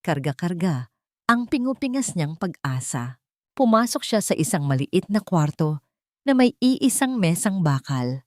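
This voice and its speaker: A woman reads a scripted dramatic story as narrator, in a measured, literary storytelling manner. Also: on the low side, sing-song, darkish tone, no room sound, studio quality.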